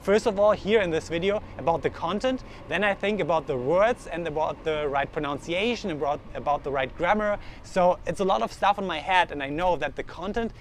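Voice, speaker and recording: Young German-accented man, slightly high voice, earnest vlogger thinking aloud; outdoor camera mic, faint street noise. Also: a little fast, few pauses. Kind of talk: casual talk to camera. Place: outdoors in the sun, urban street.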